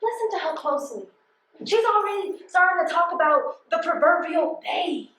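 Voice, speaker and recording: A high female American voice with a roomy echo around it. An actress plays a hard-working, suspicious postal carrier in a stage drama, emphatic and guarded, talking in streetwise everyday speech. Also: expressive, normal speed, fairly bright tone. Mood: suspicious, insistent. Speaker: stage actor. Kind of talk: stage play dialogue, colloquial.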